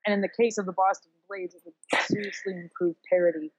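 A woman's voice: on the low side, fairly even intonation, quick pace, dry recording, poor audio. A woman co-hosting a podcast, musing casually and a little wryly about sports. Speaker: podcast co-host.

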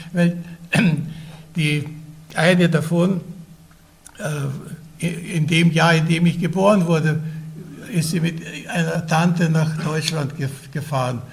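Hesitant elderly man, high gravelly voice. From Vienna, Austria. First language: Russian. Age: older. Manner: hesitant, many fillers and restarts; often clears his throat. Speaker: interviewee recounting his life. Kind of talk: oral history recollection.

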